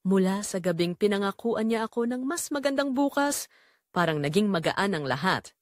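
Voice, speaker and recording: A woman's voice: medium pitch, animated, moderate pace, no room sound, clean studio sound. A Filipino woman narrating a scripted first-person audio drama in an emotive, confessional way.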